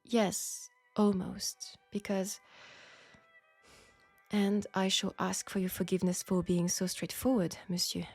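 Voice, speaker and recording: A woman playing a candid, proud French seamstress in a steampunk audio drama, speaking with a French accent in a formal, deliberate way. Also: mid-range voice, level tone, a little mellow, slightly rough, no echo, faint music behind. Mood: reproachful, candid. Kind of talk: intimate scripted audio roleplay.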